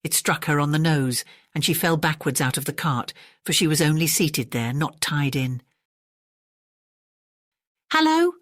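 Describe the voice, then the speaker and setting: A storyteller narrates a classic fairy tale in audiobook fashion. The pitch sits in a middle range. The sound is dry, with very little room in it. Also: fairly even intonation, normal speed, hardly any pauses, fairly bright tone, no room sound.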